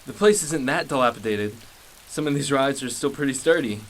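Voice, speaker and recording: A man's voice: mid-range voice, fairly quick, natural voice, poor audio. A man playing an eager, nervous salesman in a radio drama, hesitant and deferential. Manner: hesitant, ingratiating. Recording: faint hiss and rain.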